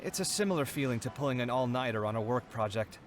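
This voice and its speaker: Casting: male actor as tired, complaining young video game man, rapid delivery, slight background noise. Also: medium pitch, animated, lightly acted. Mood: weary. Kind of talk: English video game voice acting.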